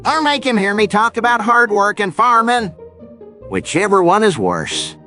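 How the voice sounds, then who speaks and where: A man's voice: high-pitched, very animated, normal speed, bright, forceful tone, faint music behind, heavily acted. A man playing a fussy, stern elderly frog grandfather in a cartoon skit, with a prim, scolding delivery. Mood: indignant.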